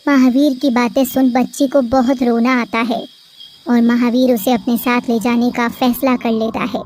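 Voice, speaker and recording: A woman narrating a cartoon story in an even storytelling manner. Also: on the high side, moderate pace.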